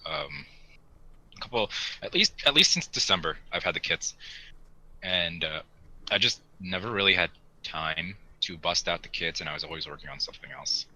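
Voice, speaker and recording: A man's voice: low voice, lively intonation, moderate pace, pushed and bright, poor audio. A man co-hosting a hobby podcast, chatting casually and enthusiastically in an informal way. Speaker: podcast co-host. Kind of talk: casual hobby chat about model kits.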